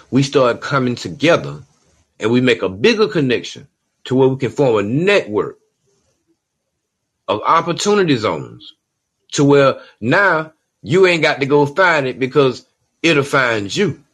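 This is a man hosting a solo podcast, with a voice set in a middle range of pitch. He talks in an emphatic, streetwise way that leans toward preaching. He keeps coming back to "you know what I'm saying". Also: fairly bright tone, breathy edge. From Georgia, USA. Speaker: podcast host.

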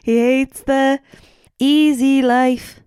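A woman's voice: sits high, steady tone, very slow, a little mellow, clear, resonant tone, no echo, studio quality. A woman co-hosting a podcast, chatting casually and poking fun at herself as she plays a guessing game, swearing freely. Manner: playful, self-mocking, sweary. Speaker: podcast co-host. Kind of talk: casual banter while playing a guessing game.

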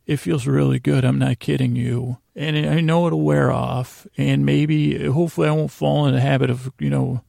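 Very creaky, rambling man. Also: mid-range voice, fairly even intonation, mellow, no room sound, studio quality. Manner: meandering, halting, trailing off mid-sentence; self-deprecating, confessional. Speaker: podcast host. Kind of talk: rambling personal storytelling monologue.

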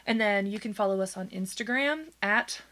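A woman's voice: mid-range voice, moderate pace, fairly bright tone. A woman co-hosting a podcast in casual chat, giggling often and rambling between jokes. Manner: giggly, rambling, talks over her co-host. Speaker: podcast co-host.

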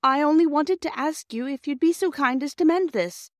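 A small, soft-voiced little girl, as a woman reader puts her on in a storybook. She is timid and well-mannered, pleading and apologetic, with her voice pitched well up. The recording is close and dry. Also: normal speed, no room sound, close to an everyday voice. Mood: hopeful. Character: anxious, tearful, polite little Edwardian girl.

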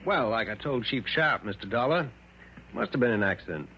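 A man's voice: lowish voice, animated, a little fast, sharp tone. A man playing a plainspoken, confident small-town car mechanic in an old radio drama. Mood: assured. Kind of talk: mid-century radio drama acting. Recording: noisy, muffled vintage radio recording.

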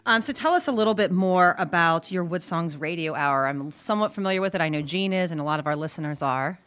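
A woman's voice: on the low side, fast talker, fairly bright tone. A woman hosting a casual live interview and talking with a guest. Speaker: show host.

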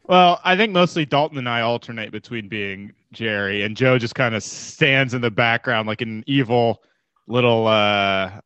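A young man co-hosting a podcast over a video call from a kitchen at home, joking and rambling with quick asides. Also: medium pitch, a bit bright, smooth, no echo. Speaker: podcast co-host. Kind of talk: casual comedic chat. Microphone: video call mic. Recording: dull, dry video call audio.

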